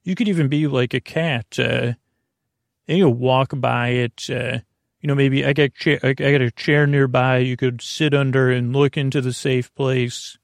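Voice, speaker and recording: A man hosting a bedtime podcast, talking in a meandering, gentle way full of fillers and restarts. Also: mid-range voice, steady tone, normal speed, gravelly, dry recording, studio quality. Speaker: podcast host. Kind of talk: rambling soothing bedtime podcast talk.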